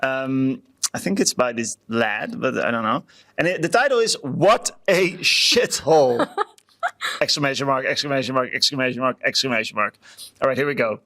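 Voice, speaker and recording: A man co-hosts a podcast in an easy conversational style. His voice is very animated in pitch, swinging up and down as he chats and reads reviews aloud. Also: moderate pace. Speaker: podcast co-host. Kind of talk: casual chat, reading out reviews.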